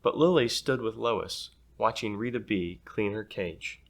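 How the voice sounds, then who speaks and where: A man reading a children's story aloud in an expressive, playful way. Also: fairly low pitch, unhurried. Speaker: storybook reader.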